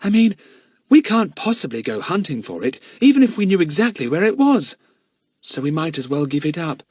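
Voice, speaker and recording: A man's voice: on the high side, big pitch swings, normal speed, husky, no room sound, pitched above the performer's own voice. Brief: male narrator doing a careful, timid girl, storybook. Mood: cautious. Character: cautious, timid young girl.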